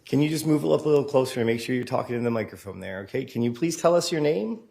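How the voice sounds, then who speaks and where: A man's voice: mid-range voice, lively intonation, fast talker, vocal fry, mellow. Male defense attorney questioning a witness. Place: courtroom.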